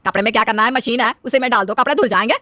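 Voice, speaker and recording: A woman's voice: a little high, very fast, sharp tone, no room sound. A woman voicing a bossy, fault-finding middle-aged mother-in-law in a family story, in a scolding, demanding manner. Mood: haggling.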